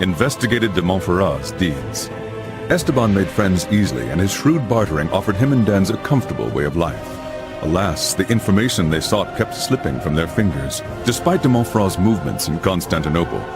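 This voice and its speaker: An actor playing a solemn father who recounts his son's crusade as a game's narrator, in a grave, measured dramatic style. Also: sits low.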